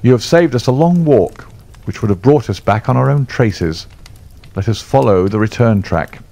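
A cool, sharp-witted detective, as a narrator puts him on, speaking with crisp assurance. The voice rises only a little above the reader's own low, gravelly tone and moves with lively inflection. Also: male voice, moderate pace. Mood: assured calm.

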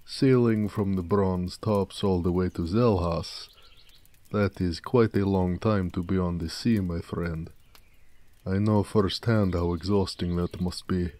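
A man voicing a big, humble, sweet-natured orc blacksmith in an intimate romantic audio roleplay, gentle, unhurried and affectionate. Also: low voice, fairly even intonation, dry recording. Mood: friendly, chatty.

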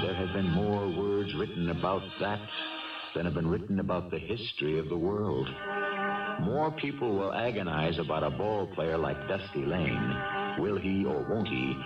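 A man's voice: deep voice, gravelly. A man hosting and narrating a radio drama in a theatrical, musing manner, posing rhetorical questions. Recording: distant dull old radio, loud music.

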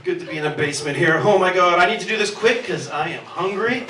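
A man's voice: sits high, quick pace, bright, forceful tone, a little hiss or hum. A man in his thirties doing a rambling, profane, self-deprecating stand-up set on a handheld mic in a small pub basement. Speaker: stand-up comedian. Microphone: handheld stage mic, filmed from the room. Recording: roomy club sound from far off.

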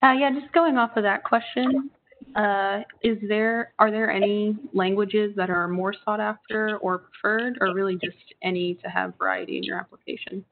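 A woman's voice: medium pitch, fairly even intonation, normal speed, creaky. A young woman tentatively asking a question during an online lecture. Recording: tinny call audio with faint noise.

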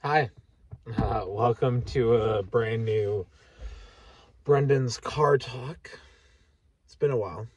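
A man of about thirty, talking frankly and haltingly in a sweary, candid personal vlog monologue. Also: medium pitch, slightly rough, recorded from a distance, lo-fi sound. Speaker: vlogger. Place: inside a parked vehicle at night.

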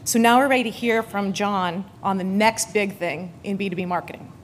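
A woman's voice: mid-range voice, brisk. Woman emcee at a podium, reading an intro, podium mic, conference hall, faint noise behind. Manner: reads a prepared introduction at a podium.

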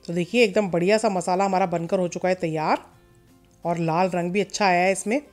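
A woman hosting a cooking channel, calmly talking viewers through a recipe step by step in a voice-over and mixing in English words. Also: fairly low pitch, a little fast, faint music behind.

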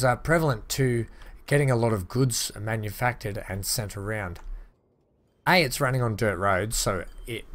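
Gaming host, man, a bit hoarse, lower voice, relaxed thinking-aloud game commentary. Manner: chatty, thinking aloud, upbeat asides. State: slightly hoarse, losing his voice. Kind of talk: casual let's-play commentary.

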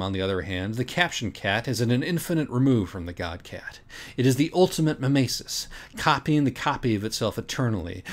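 Man, audiobook reader, theatrical, sardonic, emphatic, lively intonation, medium pitch. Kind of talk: dramatic first-person reading of a mock-philosophical rant.

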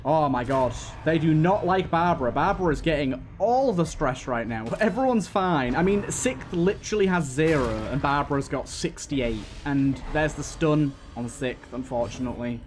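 A man's voice: a little high, lively intonation, moderate pace, few pauses, a little hiss or hum. A man giving casual gameplay commentary, thinking aloud and talking to the game's characters. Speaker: gaming video host.

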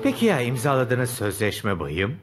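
A man's voice: mid-range voice, wildly swooping pitch, measured pace. A man playing a formal, nervously polite and officious district governor in a dubbed cartoon. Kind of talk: animated series dub. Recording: music under the dialogue.

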